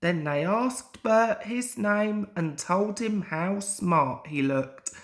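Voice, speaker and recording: A young man reading a children's story aloud in a deliberate, expressive storybook manner. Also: sits high. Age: young adult. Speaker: amateur storyteller.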